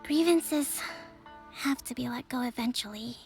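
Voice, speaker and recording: A composed young priestess speaks with quiet authority in a game. Her voice sits high and clear, every sentence measured and formal. Soft music plays underneath. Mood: calm. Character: young female divine priestess, calm and measured. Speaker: island leader.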